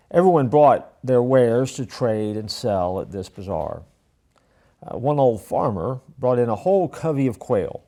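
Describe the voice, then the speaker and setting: A man hosting a church storytelling video, telling a parable in a folksy, self-deprecating way and letting phrase ends trail off softly. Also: mid-range voice. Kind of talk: casual storytelling with a moral.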